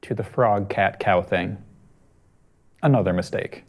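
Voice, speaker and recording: A man's voice: fairly low pitch, a little slow, a little mellow. A man in his twenties reading a picture book aloud and chatting casually in a studio. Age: 20s. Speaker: storytime reader. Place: small TV studio at a desk.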